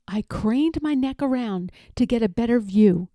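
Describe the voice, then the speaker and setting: A woman voicing a vain, indignant pampered palace dog, telling a storybook tale theatrically with dramatic pauses. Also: on the high side, expressive, mellow, no room sound, clean studio sound. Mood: haughty. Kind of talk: expressive storybook read-aloud.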